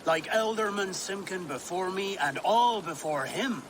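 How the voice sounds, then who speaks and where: A merry carefree elder, slow talk, very swoopy pitch, noisy background, game cutscene. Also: male voice, expressive. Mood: serene. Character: merry, carefree male village elder resigned to death. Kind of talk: dramatic game cutscene acting. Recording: clear outdoor ambience noise.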